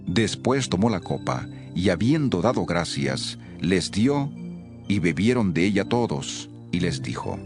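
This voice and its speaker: Deep voiced guy narrating bible story, solemn but pitch moves a lot, soft music behind. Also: big pitch swings, measured pace. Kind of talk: scripted scripture narration.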